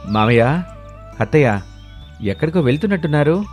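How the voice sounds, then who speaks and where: A man voicing a polite, obliging village farmer in an animated moral story, agreeable and hospitable. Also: fairly high pitch, a little fast, faint music behind, close to an everyday voice.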